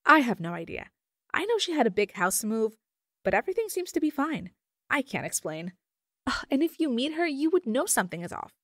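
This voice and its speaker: A woman's voice: high voice, very animated, fairly quick, a bit bright, no echo, clean studio sound, heavily acted. A woman acting a worried, friendly schoolgirl in an audio drama, earnest in manner. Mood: puzzled, concerned.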